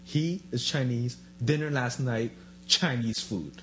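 A man in relaxed conversation, joking about everyday encounters and acting out what people say to him. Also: mid-range voice, measured pace, slight background noise. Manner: joking, self-deprecating, acts out quoted people. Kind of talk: casual conversation.

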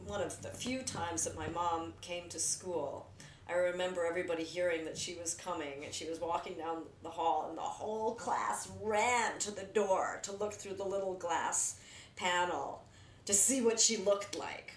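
A woman's voice: lowish voice, moderate pace. An American woman from New Jersey talking candidly and reflectively about herself in casual conversation. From New Jersey, United States. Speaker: filmmaker. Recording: roomy echo, distant mic, faint noise.

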